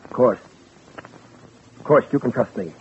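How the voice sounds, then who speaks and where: A man's voice: mid-range voice, a little slow, soft background music, lightly acted. An actor playing a guilty man who feigns loyal innocence, guarded and placating, in a 1940s radio drama. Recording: old radio recording, narrow band.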